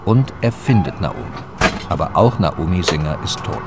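A man's voice: low-pitched, lively intonation, normal speed, heavy background noise. A man narrating a scripted recap as the announcer of a German radio drama. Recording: music behind the voice.

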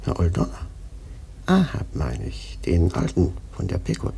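An actor playing a ragged, half-mad sailor prophet in a radio play, raspy and creaky, taunting and cryptic. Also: male voice, low-pitched, wildly swooping pitch, a little slow, mellow, full character voice. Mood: bitter, agitated. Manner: taunting, cryptic, erratic muttering. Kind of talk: German radio play acting.

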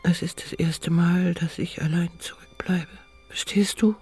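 An actress playing a lonely, tender elderly woman in a radio drama, musing in a hushed, intimate way. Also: on the low side, fairly even intonation, measured pace, slightly rough, soft background music, full character voice. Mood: wistful, tender. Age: older.

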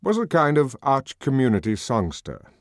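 A man's voice: mid-range voice, sing-song, normal speed, dry recording, voice pushed up, lightly acted. Man acting authoritative world controller, wry, genial, lecturing, very varied intonation, dry close sound. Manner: genial, lecturing, drily teasing. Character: urbane, wry, authoritative male world controller, amused intellectual.

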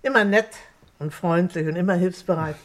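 A woman's voice: fairly low pitch, normal speed, natural voice. A woman acting a garrulous, good-natured housekeeper in a crime radio play, talking in a homely, folksy way. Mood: chatty, knowing.

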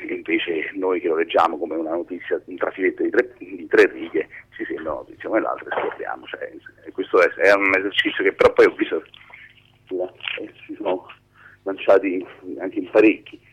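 A man's voice: low-pitched, normal speed, husky, highs cut off. A man giving an informal radio phone interview as a writing teacher, rambling and often interrupting himself as he explains. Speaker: screenwriting teacher being interviewed.

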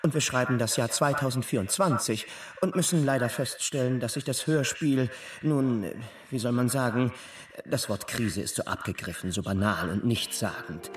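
A man's voice: medium pitch, normal speed, natural voice. Fussy, polite panel moderator played by a man, roomy sound. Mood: deferential. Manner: deferential, wordy, hesitant. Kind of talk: radio play moderation. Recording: roomy, distant from microphone.

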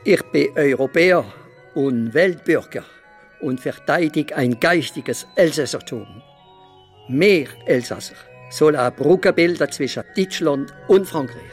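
An actor playing a proud, opinionated Alsatian writer in a radio play interview, answering in an assertive, measured way. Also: male voice, a little high, slightly rough, soft background music.

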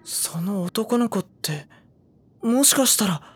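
A woman's voice: mid-range voice, animated, slow, husky. A woman voicing a reserved, exasperated teenage schoolboy with dry, polite delivery in an audio drama. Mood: pensive. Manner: dry, polite retorts and musing. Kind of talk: Japanese audio drama acting. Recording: background music under the voice.

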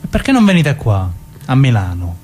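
A man playing a menacing, controlling criminal ex-husband in a radio drama, husky-voiced, insistent and coaxing in colloquial speech. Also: mid-range voice, huge pitch swings, normal speed, a little mellow, slight background noise. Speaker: actor in a dramatic reading. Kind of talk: dramatic radio play dialogue.

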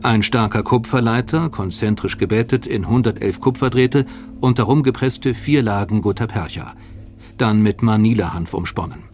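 A man reading a scripted documentary narration in a measured, factual way. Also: on the low side, a little mellow, soft background music.

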